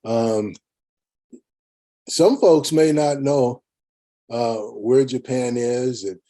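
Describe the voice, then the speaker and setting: A man with a mellow, mid-pitched voice moderates an online panel. He speaks in a measured, halting way, feeling out his words as he introduces and guides the session. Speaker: webinar moderator. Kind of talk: unscripted hosting.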